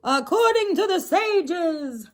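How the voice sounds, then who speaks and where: A woman voicing a learned, grave village rabbi in a storybook, speaking in deliberate pronouncements. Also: very high voice, lively intonation, pitched above the performer's own voice, exaggerated voice. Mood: solemn, pronouncing.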